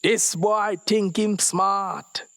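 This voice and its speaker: A gruff police officer from a short story, acted out by a male reader. He pitches his voice up and barks with authority. His manner is commanding, suspicious and mocking. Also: steady tone, extremely slow, fairly bright tone, exaggerated voice. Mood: mocking disbelief. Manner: barking orders, authoritative.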